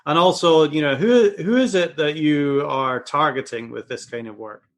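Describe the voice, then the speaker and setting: A man's voice: a little high, normal speed. Scottish man hosting an interview. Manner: easygoing, uses fillers. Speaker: podcast host. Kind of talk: interview host, casual.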